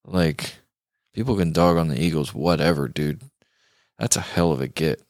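A man's voice: rumbling low, moderate pace, a little mellow, dry recording, clean studio sound. An American man hosting a podcast, chatting casually about music in a laid-back, slangy way. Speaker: podcast host.

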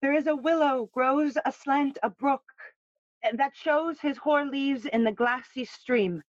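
Slow, high-voiced mournful queen. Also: no echo, dull audio. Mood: mournful, lyrical. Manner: slow, poetic, elegiac. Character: mournful, lyrical queen bringing word of death. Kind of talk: bilingual Shakespearean audio play acting.

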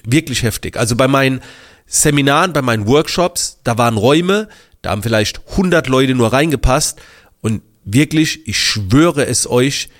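A man hosting his podcast, slightly congested from a cold, telling a story casually with lots of fillers and restarts. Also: medium pitch. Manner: animated, many fillers and restarts. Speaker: podcast host.

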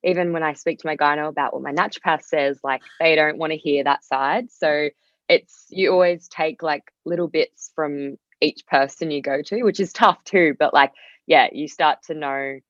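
Aussie woman, quite low voice, casual guest chat, says like a lot, bit dull roomy audio. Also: moderate pace. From Australia. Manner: loose, heavy use of "like". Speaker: podcast guest. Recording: roomy, slightly dull sound.

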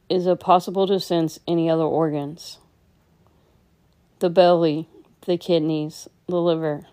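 A woman guides a meditation in a low, dark and relaxed voice. Her delivery stays very level and unhurried, with gentle instructions given step by step. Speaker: meditation teacher.

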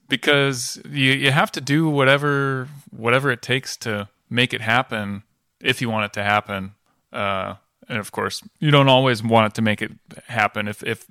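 A podcast host sharing a thoughtful, measured opinion in a casual conversation. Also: male voice, on the low side, sharp tone, no echo, clean studio sound.

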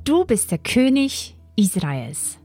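A woman's voice: a little high, very animated, slow, darkish tone, breathy edge, no room sound, soft background music. A woman giving a scripted Bible reading as the scripture reader.

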